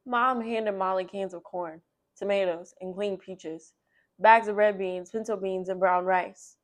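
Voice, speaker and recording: A woman hosting a children's read-aloud, speaking in an expressive, emphatic storyteller's manner. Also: medium pitch, unhurried.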